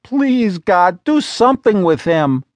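Exasperated sharp-tongued woman partner, done by a man, raised pitch, sarcastic and scolding, swooping emphasis, dry studio sound. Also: huge pitch swings, moderate pace, no room sound.

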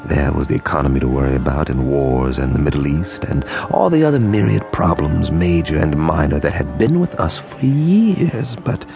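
Male radio-drama lead: somber, rueful survivor, very low gravelly voice, reflective delivery over music. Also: very animated, full character voice. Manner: brooding, deliberate, reflective, with weighty pauses. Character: somber, rueful man recounting a calamity. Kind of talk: scripted first-person radio drama narration.

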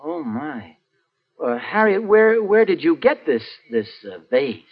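A man's voice: sits high, huge pitch swings, measured pace, creaky, breathy edge, heavily acted. A man playing a mild, wisecracking husband in a 1940s radio sitcom, hedging and joking dryly. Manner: wry, mild, hedging, dry jokes. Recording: lo-fi old radio recording.

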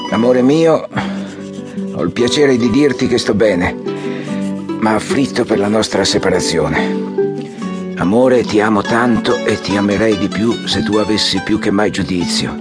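An actor playing an ailing, proud old exiled general, imperious and theatrical, in an audiobook drama. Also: male voice, lowish voice, a little slow, natural voice. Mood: tender, firm. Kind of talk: audiobook radio drama acting. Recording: guitar music under the voice.

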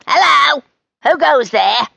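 A woman's voice: mid-range voice, lively intonation, takes it slowly, dry recording. Woman acting a theatrical old fortune teller, swooping delivery. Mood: brusque. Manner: theatrical, old-fashioned, mysterious pronouncements. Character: eccentric, toothless old woman fortune teller, brusque, smug.

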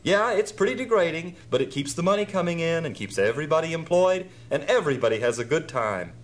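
A man's voice: on the high side, lively intonation, fast talker, natural voice. A man playing a harried, sarcastic theme park manager in an audio drama, talking with exasperated emphasis. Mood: pleading frustration.

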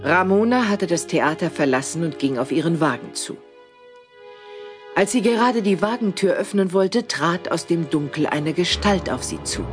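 A woman's voice: fairly low pitch, lively intonation, normal speed. A woman narrating a scripted audio drama in a storytelling role. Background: music.

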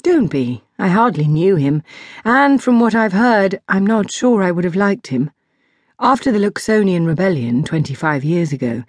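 Storybook teen princess, played by a female narrator: dutiful, lonely, polite, earnest, lowish pitch, dry recording. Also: darkish tone, slightly rough, no room sound. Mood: earnest, resolute.

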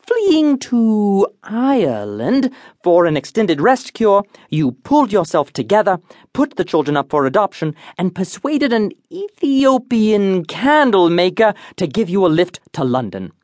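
An actor playing an eccentric, pompous detective, declaiming absurdly confident deductions in a theatrical comic radio-drama style. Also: male voice, high voice, sing-song, measured pace, a little mellow, dry recording, clean studio sound. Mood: grandly declaiming.

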